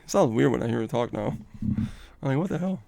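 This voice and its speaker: A man's voice: mid-range voice, lively intonation, fairly quick, vocal fry, dark tone. A man co-hosting a casual live coaching stream, upbeat and motivational, laughing often and reading viewer comments aloud.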